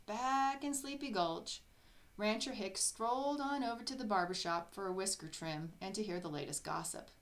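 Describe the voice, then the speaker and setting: A woman reading a children's story aloud in an expressive storybook style. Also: mid-range voice, normal speed. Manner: expressive, acts out characters' dialogue.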